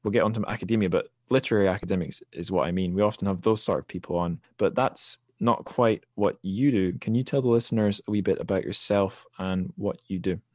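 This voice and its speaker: A man with a fairly low voice talks as a podcast host. His delivery is informal and rambling, with a self-deprecating streak. The sound is dry and close. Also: fairly quick, few pauses, darkish tone, no echo. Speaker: podcast host interviewing a guest. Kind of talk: casual, rambling podcast talk.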